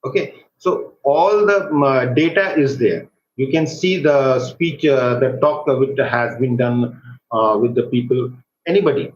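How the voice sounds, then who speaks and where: A man with an Indian accent gives an informal live software tutorial as an online instructor, speaking in a halting, hesitant way. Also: mid-range voice. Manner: halting, hesitant delivery with repeated check words. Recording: roomy echo, dull lo-fi microphone, faint noise.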